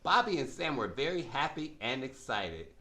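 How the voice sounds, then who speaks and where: Bright, animated male children's narrator. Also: mid-range voice, moderate pace. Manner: simple, emphatic explaining to children. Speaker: puppet show narrator. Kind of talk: scripted children's educational narration.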